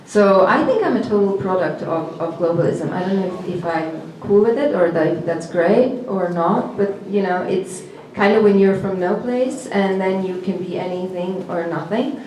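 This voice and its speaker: A woman's voice: medium pitch, a little mellow. Serbo-Croatian-speaking woman in an echoey gallery. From former Yugoslavia. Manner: reflective, halting, with restarts. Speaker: panelist, performance artist. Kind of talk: panel discussion answer. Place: gallery event space.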